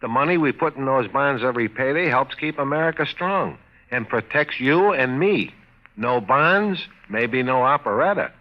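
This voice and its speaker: An actor playing a practical, teasing husband with a wry, patient manner in a vintage radio comedy advert. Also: male voice, medium pitch, lively intonation, moderate pace, lightly acted. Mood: earnest, persuading. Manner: wry, explanatory. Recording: muffled vintage radio broadcast.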